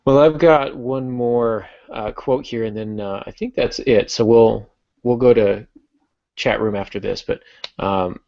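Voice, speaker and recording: A man's voice: medium pitch, fairly even intonation, unhurried, vocal fry. A man hosting a casual podcast discussion about writing, recorded with a dull, roomy, lo-fi sound. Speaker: podcast host.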